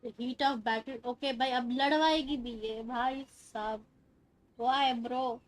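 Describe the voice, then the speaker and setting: A woman's voice: high-pitched, fairly even intonation, racing. A woman with an Indian accent giving casual live commentary and muttered reactions as a game streamer.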